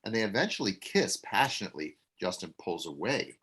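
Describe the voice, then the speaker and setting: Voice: deep voice, very animated, brisk, fairly bright tone, breathy edge. A reader plainly reading stage directions aloud for an amateur table read, with small stumbles.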